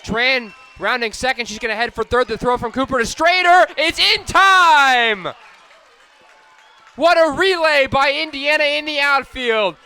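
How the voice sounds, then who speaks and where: A man's voice: extremely high pitch, measured pace, pushed and bright, some chatter behind. A man doing radio sports commentary as a play-by-play announcer.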